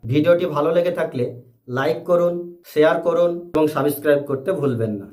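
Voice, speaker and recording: A man presenting a scripted educational explainer in deliberate, separate phrases. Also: on the high side, heavy background noise. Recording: echoey room.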